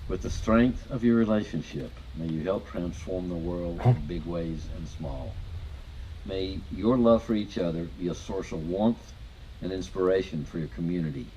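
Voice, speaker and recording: A man reads a wedding blessing in a plain, steady way. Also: low voice, normal speed, slight background noise, low-quality recording. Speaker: guest reading a blessing.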